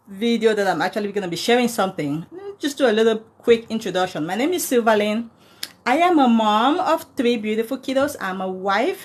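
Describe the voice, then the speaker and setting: A woman from Cameroon in her thirties, chatting casually to viewers about money and thanking them by name as she goes. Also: a little high. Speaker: personal finance content creator. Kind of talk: casual livestream talk to viewers.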